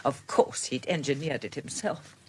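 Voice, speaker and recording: An actress playing an anxious, wronged wife in a radio drama, wistful and restrained, with a British accent. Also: female voice, rumbling low, moderate pace, darkish tone, a little hiss or hum. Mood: guarded, recounting. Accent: British English. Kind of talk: BBC radio drama acting.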